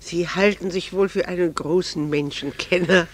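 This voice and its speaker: An actress playing a genteel middle-aged wife and mother in a German radio play, wistful and gently teasing, with refined manners. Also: lowish voice, steady tone, normal speed, lightly acted.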